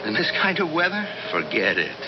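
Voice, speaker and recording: An actor playing a practical, impatient husband at a snowbound cabin in a 1970s radio drama, brusque and dismissive. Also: male voice, on the high side, huge pitch swings, a little fast. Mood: brisk reassurance. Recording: muffled, noisy 1970s radio recording.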